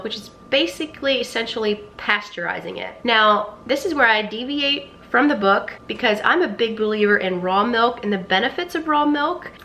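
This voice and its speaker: A woman, a bit croaky and sniffly from a cold, chatting casually to camera about a recipe in her home kitchen. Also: mid-range voice, pushed and bright, a little hiss or hum. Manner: chatty, conversational. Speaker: gut health vlogger.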